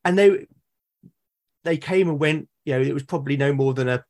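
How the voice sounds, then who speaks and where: A British man in casual, reflective conversation, explaining things in a halting, thoughtful way and often rephrasing himself. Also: fairly high pitch, a little mellow. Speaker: podcast guest or co-host, tabletop game master. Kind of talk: casual reflective conversation about roleplaying games.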